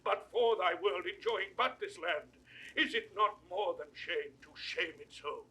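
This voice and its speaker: Old dying noble in shakespeare radio play, defiant n impassioned, sharp high voice. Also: male voice, a little slow. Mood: grave reproach. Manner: declamatory, impassioned. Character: dying old nobleman, bitter, defiant, rebuking his royal nephew. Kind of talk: classical Shakespearean stage acting, 1960s audio drama.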